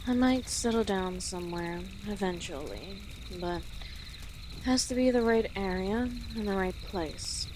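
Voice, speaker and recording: A woman playing an easygoing, friendly female orc travel guide in an audio roleplay, speaking gently and conversationally to the listener with pauses. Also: medium pitch, lively intonation, noisy background, lightly acted. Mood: relaxed, hopeful. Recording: outdoor nature ambience with birdsong behind. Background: birds and rural outdoor ambience.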